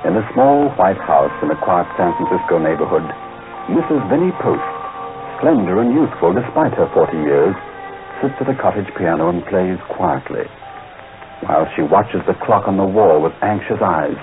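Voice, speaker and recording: A man's voice: low-pitched, lively intonation, normal speed. A man announcing and narrating a vintage radio drama in a grand, formal, scripted style. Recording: narrow-band vintage radio recording. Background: music.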